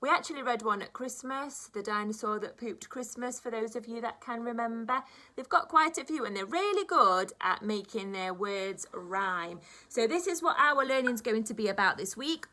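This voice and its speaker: A woman, a primary school teacher, reading aloud to young children in an expressive storybook style with dramatic emphasis. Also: fairly high pitch, moderate pace.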